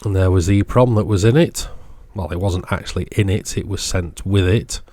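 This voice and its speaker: A man presenting a hands-on electronics repair demonstration, measured and matter-of-fact, explaining things in short phrases. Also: sits low, mellow, no echo.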